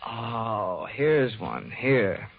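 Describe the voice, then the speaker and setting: An American actor playing a cocky, flippant insurance investigator with wry, breezy delivery in a 1940s radio detective drama. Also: male voice, medium pitch, big pitch swings, extremely slow, vocal fry, husky, heavily acted. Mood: breezy, sardonic. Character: wisecracking, cocky freelance male insurance investigator. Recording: dull 1940s radio recording with music.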